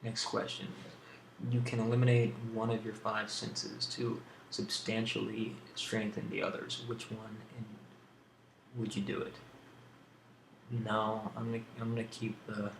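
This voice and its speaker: A man hosting a casual ASMR question-and-answer video, speaking in a halting, rambling, hesitant way on an amateur home recording. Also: lowish voice, monotone, gravelly, echoey room, slight background noise, rough recording. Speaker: video host.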